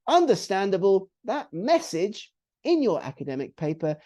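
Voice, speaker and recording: A man's voice: high voice, very animated, moderate pace, mellow. A man with a British accent, presenting a live online writing webinar in an upbeat, emphatic, teacherly way. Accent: British English. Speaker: webinar trainer. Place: home or office, on a video call.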